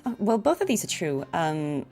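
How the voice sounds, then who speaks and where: A woman livestreaming a game, chatting casually and reacting to it in an expressive, informal way. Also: fairly low pitch, moderate pace, faint music behind. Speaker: livestreamer, voice actor. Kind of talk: casual chat and reactions while streaming a game.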